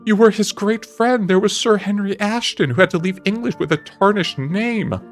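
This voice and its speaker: A man playing an earnest, high-voiced, anguished gentleman. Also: moderate pace, faint music behind. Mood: accusing, distressed. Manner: pleading, preachy, emphatic. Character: earnest, anguished gentleman painter moralizing to his friend. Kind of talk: dramatic audiobook character reading.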